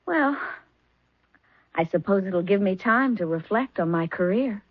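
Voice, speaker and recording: A sweet-talking, husky schemer. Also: female voice, mid-range voice, expressive, a little slow, no echo. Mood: cool. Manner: sweet, coaxing and polite. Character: charming, scheming young female poisoner. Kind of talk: 1970s radio drama acting. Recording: dry, dull old radio broadcast.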